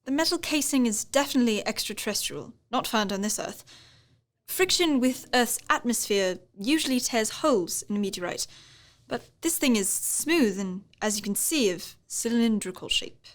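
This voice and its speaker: A measured, puzzled professor played by a woman in a radio play. The voice sits a little high and explains things precisely. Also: animated, natural voice.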